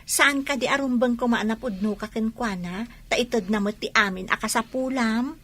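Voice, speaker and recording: A woman's voice: on the high side, normal speed, a bit bright. A woman with a Filipino accent acting a nosy, chiding young confidante in a radio drama. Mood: inquisitive, chiding.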